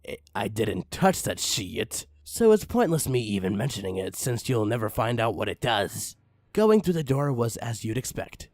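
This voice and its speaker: A man reads a parody horror story with mock-ominous drama. His voice is lowish and husky, swinging widely in pitch as he draws out phrases theatrically. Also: darkish tone, no room sound. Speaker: creepypasta reader. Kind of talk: dramatic comic story narration.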